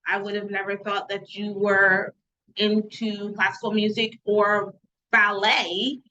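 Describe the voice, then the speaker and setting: A woman's voice: medium pitch, flat delivery, slow, pushed and bright, lo-fi sound, a little hiss or hum. A woman hosting an interview show, introducing her guest and praising him with enthusiasm. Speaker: host.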